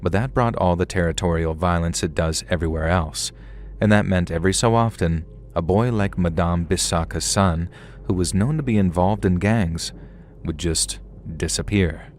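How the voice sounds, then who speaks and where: A man's voice: low-pitched, normal speed, darkish tone, slight background noise. A man narrating a first-person horror story in a conversational storytelling manner, with casual asides to the listener.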